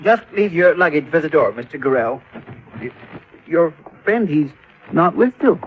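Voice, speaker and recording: A fussy, polite man played by a male actor with a highish, very lively voice. He hesitates and stammers in vintage radio drama style, on a muffled recording. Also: normal speed, a little hiss or hum, lightly acted. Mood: flustered. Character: fussy, flustered, polite male car owner. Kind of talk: 1950s radio drama acting.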